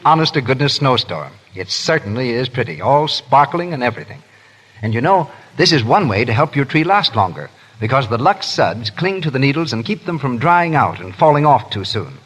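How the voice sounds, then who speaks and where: An American man announces in the style of 1930s radio. His pitch moves in lively swings and his tone is husky. The old broadcast recording carries a long, hall-like reverb.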